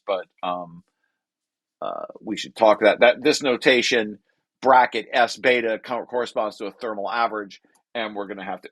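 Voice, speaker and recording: A man presenting a technical read-through, shifting into informal, halting explanations and often correcting himself. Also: mid-range voice, a bit bright.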